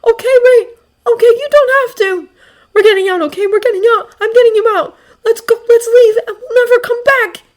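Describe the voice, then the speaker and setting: A woman voicing a desperate, protective teenage boy who speaks in an urgent, halting, coaxing way. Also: extremely high pitch, expressive, darkish tone, voice pushed up. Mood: panicked reassurance.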